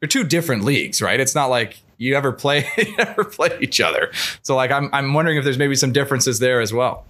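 A man's voice: medium pitch, lively intonation, quick pace, pushed and bright. Wry, rambling man hosting a podcast. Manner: rambling, wry, self-deprecating. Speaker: podcast host. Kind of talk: casual podcast discussion.

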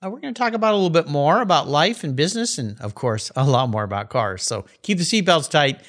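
A man's voice: a little high, fast talker. A man hosting a car podcast, interviewing a guest in an upbeat, enthusiastic way. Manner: upbeat, enthusiastic, lively delivery. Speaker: podcast host. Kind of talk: interview and read sponsor ads.

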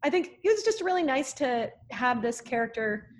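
A woman film director telling stories casually in an interview, in an animated, chatty way. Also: high-pitched, normal speed.

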